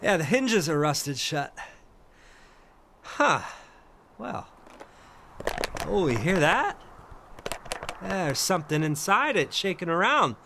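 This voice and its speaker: An actor playing a haunted, curious solitary man in an audio drama, halting and gravelly, as if thinking aloud. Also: sits high, big pitch swings, bright, forceful tone, a little hiss or hum, full character voice. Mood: curious, musing. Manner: hesitant, halting, murmuring to himself. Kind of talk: first-person dramatic narration with in-scene dialogue.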